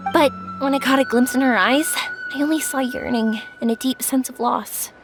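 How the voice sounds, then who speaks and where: A woman's voice: high-pitched, fairly even intonation, moderate pace, over loud music. An actress voicing a cheerful, talkative young woman in an anime-style video game, kind and endlessly positive, with a chatty, encouraging manner. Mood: warm, reflective.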